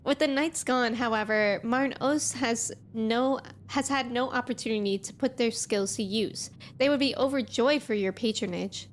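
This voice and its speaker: A woman voicing a proud fae creature from a game, reading its dialogue in a formal, measured, old-fashioned way. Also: a little high, a little hiss or hum, close to an everyday voice. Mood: solemn, formal.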